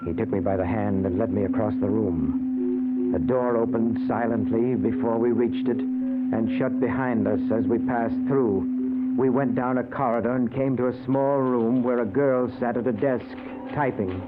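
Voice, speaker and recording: Thin old radio sound w/ loud music behind, actor as desperate ghost guy narrating, dramatic n intense. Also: mid-range voice, fairly even intonation, measured pace, phone-quality sound, natural voice. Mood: haunted, despairing. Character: anguished male ghost recounting his own death. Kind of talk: 1940s radio acting, first-person narration.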